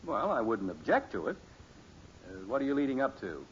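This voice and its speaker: Actor as dry, animated 1950s radio father. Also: medium pitch, normal speed, close to an everyday voice. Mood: patient, dry. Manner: dry, patient. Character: calm, wry middle-aged suburban father. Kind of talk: 1950s radio sitcom acting. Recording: dull, hissy old radio recording.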